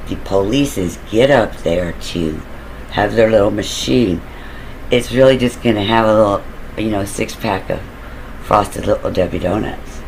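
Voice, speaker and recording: A man with a low, deep voice and a rough, gravelly edge tells a story in a relaxed, wandering way. He drifts off on side tracks, trails off with fillers and lets a curse slip now and then. A faint hiss of noise sits under his voice. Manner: loose and digressive, with fillers and occasional swearing. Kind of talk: casual rambling storytelling.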